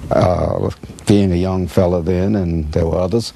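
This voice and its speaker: An elderly American man recalls old times in an interview. His voice is low and mellow with a gravelly edge. He speaks haltingly, feeling his way through memories. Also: steady tone. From Chicago, United States. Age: 70s or older. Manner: halting, searching recollection. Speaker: radio veteran being interviewed. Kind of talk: reminiscing interview.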